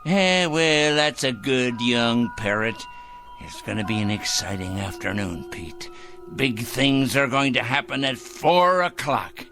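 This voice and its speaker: A man's voice: mid-range voice, measured pace, music in the background, close to an everyday voice. An actor in a radio drama playing a fussy, sanctimonious, secretive man obsessed with judging evil, speaking precisely and condescendingly. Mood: gleeful, obsessed. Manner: pompous, condescending, precise and theatrical.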